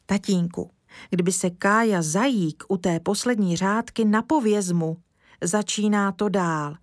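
A caring village mother read by a woman gives gentle but firm instructions. Her voice stays near an everyday tone, close and dry, as in a storybook reading. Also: medium pitch, no room sound, close to an everyday voice. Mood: kindly firm. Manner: kindly instructing.

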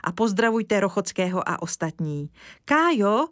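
A caring village mother read by a woman gives gentle but firm instructions. Her voice stays near an everyday tone, close and dry, as in a storybook reading. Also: medium pitch, sing-song, no echo, lightly acted. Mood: kindly firm. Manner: kindly instructing.

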